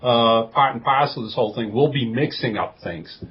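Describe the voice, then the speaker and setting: A man's voice: mid-range voice, brisk, breathy edge. A man co-hosting a podcast, chatting casually and conversationally. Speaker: podcast co-host. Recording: dull, lo-fi podcast audio.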